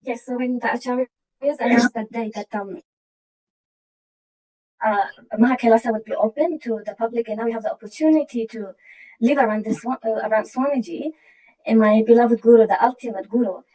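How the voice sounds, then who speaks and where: A woman from Iraq, earnestly sharing a personal testimony with halting restarts. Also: a little high, dark tone, far from the mic, low-quality recording. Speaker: program participant sharing her story.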